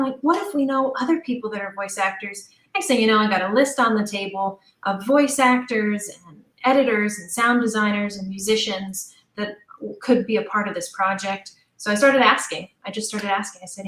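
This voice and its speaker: A middle-aged woman chatting casually as an interview guest, telling stories in an animated way and acting out bits of quoted dialogue. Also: mid-range voice, a little fast, hardly any pauses. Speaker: interview guest, audio drama creator. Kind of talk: casual conversational storytelling. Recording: roomy, echoing sound.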